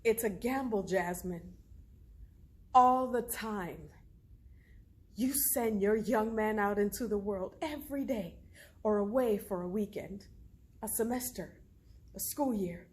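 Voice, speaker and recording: A woman performing an anguished, protective mother in a dramatic stage monologue, pleading and emphatic with deliberate pauses. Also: medium pitch, close to an everyday voice. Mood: anxious, subdued. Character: anguished, protective mother fearing for her young son.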